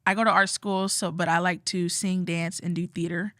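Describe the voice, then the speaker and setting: A teenage girl, a high school senior, chatting as a guest in an interview and rambling with lots of "like" and restarts. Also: little pitch movement, normal speed, fairly bright tone, no room sound, clean studio sound. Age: teens. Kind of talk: casual interview chat.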